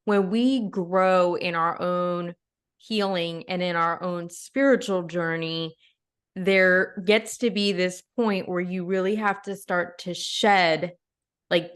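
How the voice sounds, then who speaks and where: A woman's voice: fairly low pitch, smooth. A middle-aged woman, a podcast guest, speaking earnestly and frankly in a casual conversation. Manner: earnest, frank, laughs between points.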